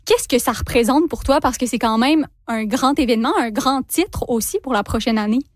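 High-voiced woman interviewer. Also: normal speed, no echo, studio quality. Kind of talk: interview questions.

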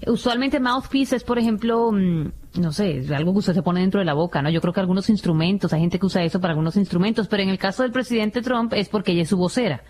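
A woman's voice: medium pitch, fast, few pauses, a little mellow. A woman chatting informally and frankly as a radio panelist, dropping bits of English into her talk. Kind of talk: casual radio chat.

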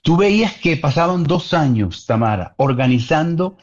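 A man's voice: on the high side, lively intonation, normal speed. Earnest man, slightly muffled audio. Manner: earnest, reflective, repeats points for emphasis. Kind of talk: casual conversation.

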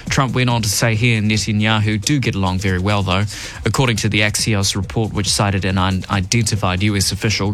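Opinionated New Zealand radio host. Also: male voice, fairly low pitch, a bit bright. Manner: emphatic, opinionated, varied delivery. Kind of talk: opinion commentary and news reading.

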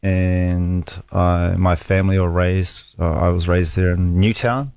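Gravelly low-voiced New Zealand man. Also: takes it slowly, dry recording. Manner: relaxed, candid, self-deprecating. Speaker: guest. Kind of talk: casual interview storytelling. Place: radio studio.

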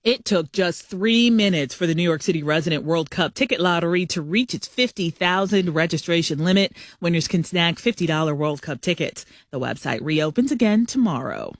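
A woman anchoring a scripted radio newscast with a crisp, brisk delivery. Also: on the low side, animated, no echo, clean studio sound. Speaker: news anchor.

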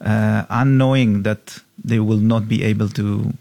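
A middle-aged man from Israel, a diamond company co-founder, answering interview questions in halting, simple phrasing with fillers. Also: lowish voice, fairly even intonation, gravelly, dark tone, dry recording.

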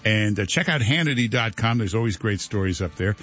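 A man's voice: lowish voice, expressive, a little fast, a bit bright, lo-fi sound, faint music behind. A male radio host, teasing his co-host in talk show banter. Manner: brusque teasing.

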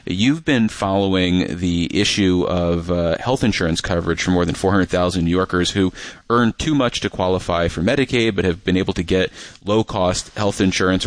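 A man hosting a public radio interview, asking measured questions and explaining terms for listeners. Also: low-pitched, no echo. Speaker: radio host.

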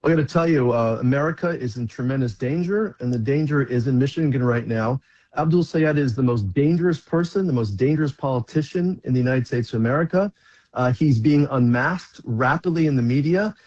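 A man's voice: medium pitch, a little fast, darkish tone, dull audio. A man, a rabbi, speaking as a guest on a talk radio show in an urgent, emphatic way.

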